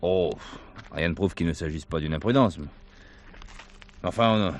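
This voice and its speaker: An actor playing a reserved, wry middle-aged police commissaire in a French crime drama, speaking in a measured, authoritative way. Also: male voice, low voice, a little hiss or hum. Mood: grave, guarded.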